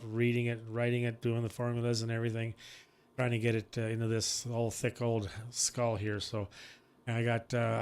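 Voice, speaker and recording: An older man in casual amateur radio chat, rambling and repeating himself. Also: medium pitch.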